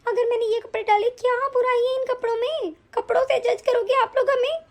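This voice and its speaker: A woman's voice: very high-pitched, steady tone, a little fast. A woman playing a wronged but defiant young wife, pleading indignantly in a family melodrama. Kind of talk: domestic family melodrama acting.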